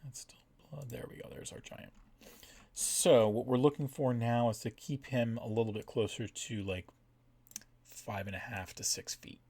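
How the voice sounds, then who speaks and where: A man hosting a livestream with casual commentary, explaining things in a halting, informal way. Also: mid-range voice, steady tone, a little mellow, breathy edge. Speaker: map maker and stream host.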